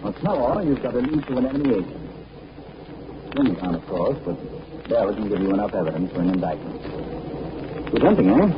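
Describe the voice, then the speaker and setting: A man playing a confident gentleman adventurer captain with a crisp, assured way of explaining, in 1940s radio acting. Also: lowish voice, big pitch swings, fairly quick, pauses often. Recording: muffled, noisy 1940s radio broadcast.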